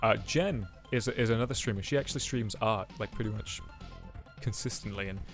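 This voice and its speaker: Man with a self-described monotone voice. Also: on the low side, a little fast, soft background music. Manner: monotone, reflective. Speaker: streamer guest. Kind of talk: casual online chat.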